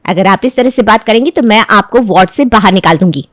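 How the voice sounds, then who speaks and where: A woman's voice: mid-range voice, lively intonation, brisk, dry recording, studio quality, close to an everyday voice. A woman playing a protective hospital nurse in a cartoon story, politely but sternly reprimanding. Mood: firm, reproving. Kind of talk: cartoon moral-story voice acting.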